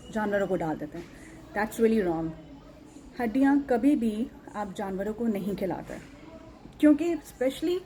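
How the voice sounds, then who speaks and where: A woman's voice: on the high side, mellow, rough recording. A woman with a Pakistani accent talking earnestly and emphatically to viewers in a casual vlog, repeating phrases and mixing in English words. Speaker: animal rescuer and vlogger. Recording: muted tone with background noise. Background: ambient background noise.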